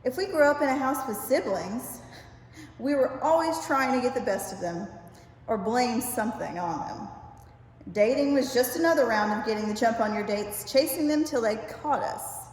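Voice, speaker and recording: An older woman reads a humorous essay aloud with expressive, wry storytelling delivery. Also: a little high, moderate pace. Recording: very echoey reverberant room, faint hiss.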